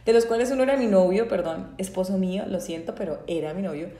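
A Colombian woman from Santander speaks candidly about her own life, pausing often and restarting her sentences as she reflects. Her voice has a mellow, warm tone, and the room gives it a noticeable echo. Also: medium pitch. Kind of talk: personal testimony talk.

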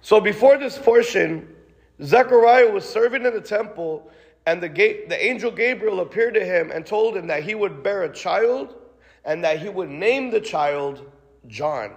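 A man's voice: high voice, animated, a little slow. A man preaching a sermon and praying aloud in a casual, conversational way, full of restarts, with "God" and "Lord" tags. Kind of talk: sermon with spoken prayer. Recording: long reverb of a large hall.

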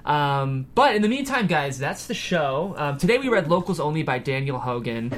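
A man's voice: on the high side, big pitch swings, normal speed, a bit bright, a little hiss or hum. A man hosting a panel show in a casual, conversational style. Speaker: host.

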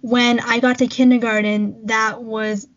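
A woman's voice: fairly high pitch, flat delivery, a little slow, highs cut off. A young woman whose first language is Cantonese, co-hosting a podcast in casual chat and telling a personal story with frequent laughs. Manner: chatty, laughs often, storytelling. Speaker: podcast co-host.

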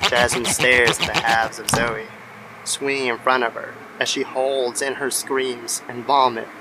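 A man narrating a scripted horror story in a measured, somber manner. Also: mid-range voice, background noise. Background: music.

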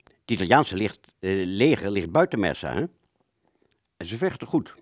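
Male actor as reflective fighter pilot, low voice, lively intonation, hesitant recollection, radio play. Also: moderate pace, no echo, studio quality. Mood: matter-of-fact. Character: male wartime fighter pilot recalling a mission. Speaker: storytelling narrator of a radio play. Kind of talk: reflective first-person radio drama narration.

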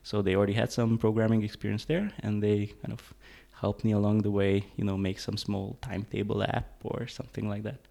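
A man in his thirties from Hungary, a tech co-founder, talking as a guest in a halting way with many restarts. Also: fairly low pitch, level tone, gravelly, warm tone. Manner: halting, restarts, searching for words. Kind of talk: casual interview chat.

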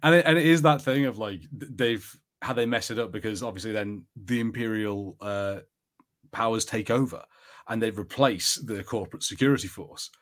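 A man with a fairly low voice hosts a podcast in loose, casual conversation. He rambles along conversationally, often circling back to restart a thought. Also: normal speed. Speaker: podcast host reviewing TV. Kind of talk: casual chat.

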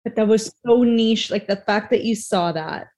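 A woman's voice: on the high side, moderate pace, a little mellow. A woman hosting a casual interview who chimes in with short, curious questions.

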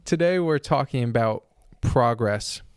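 A man hosting a casual podcast, husky and sick with a sore throat, talking in a thoughtful, rambling way. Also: mid-range voice, expressive, measured pace, no echo, clean studio sound. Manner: thoughtful, rambling, self-correcting. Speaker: podcast host.

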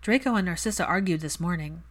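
A woman's voice: lowish voice, quick pace, natural voice. A woman voicing a guarded, easily flustered young woman in an audiobook, speaking in an earnest and hesitant manner. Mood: troubled.

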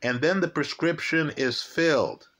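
Slow, emphatic male crime commentator. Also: a little high, a bit bright. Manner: slow, emphatic, repeats key facts and asks "right?". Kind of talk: true crime case commentary.

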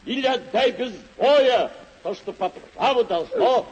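An actor in an old radio drama playing a pompous, idealistic and hot-tempered deluded knight-errant, declaiming grandly. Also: male voice, extremely high pitch, expressive, unhurried, darkish tone, breathy edge, full character voice, rough recording. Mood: commanding, threatening.

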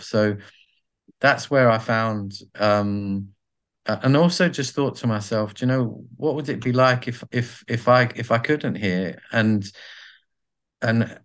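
A man being interviewed, talking in a reflective, halting way with many restarts as he searches for words. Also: lowish voice. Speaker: podcast guest, artist and carer.

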